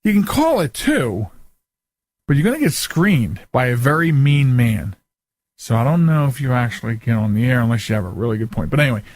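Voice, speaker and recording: A man's voice: a little high, no echo. An American man hosting a radio talk show in a casual, chatty, folksy and joking way.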